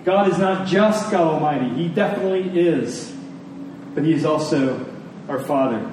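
A man preaching a sermon in an earnest, emphatic manner, repeating key phrases with deliberate pauses. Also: on the high side, darkish tone. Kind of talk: sermon to a congregation. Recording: very echoey room, distant mic, faint noise.

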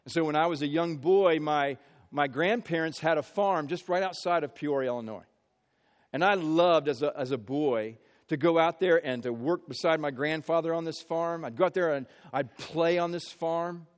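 A man's voice: fairly high pitch, normal speed, big-room sound. A man from the Midwest, preaching an earnest sermon as pastor and often repeating words as he builds his phrases. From American Midwest.

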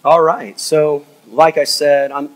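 A man, an assistant pastor, preaching a sermon in his church, his voice a little hoarse from a cold. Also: a little high, little pitch movement, slow pace, poor audio. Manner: earnest, drops to a hushed, soft voice in prayer. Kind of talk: sermon and prayer. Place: church sanctuary.

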